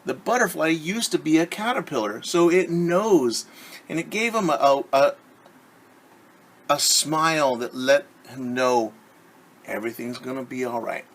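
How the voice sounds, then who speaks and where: A man teaching young children through a story, reading aloud and explaining gently and patiently with questions. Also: a little high, a little slow.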